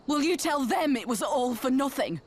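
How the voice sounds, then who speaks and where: An anguished young fighter. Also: female voice, high-pitched, lively intonation, moderate pace, darkish tone, slightly rough. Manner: impassioned, accusing. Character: young female resistance fighter, grieving and outraged. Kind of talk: game cutscene voice acting.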